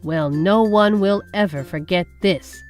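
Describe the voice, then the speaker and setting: A woman's voice: mid-range voice, unhurried, a little mellow, faint music behind, lightly acted. A woman voicing a stern, commanding school principal in a children's storybook, speaking emphatically. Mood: fuming.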